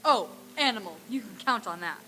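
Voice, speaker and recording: Woman playing a bright, genial party host. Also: mid-range voice, lively intonation, fairly quick, slight background noise. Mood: playful. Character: genial, playful nephew hosting a Christmas party. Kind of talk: old time radio stage reading.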